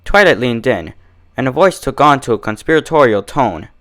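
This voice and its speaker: A man narrates a story from a script. His voice sits at a middling pitch and moves expressively, in a dramatic storytelling style. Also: animated, moderate pace, a bit bright. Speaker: fan fiction narrator.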